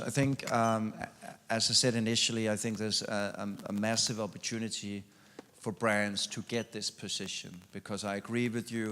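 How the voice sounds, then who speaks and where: A man, a keynote speaker, answering audience questions in halting talk full of repeated words and restarts. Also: on the low side, a bit bright. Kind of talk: conference Q&A answers. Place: large conference hall. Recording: long hall reverb at a conference.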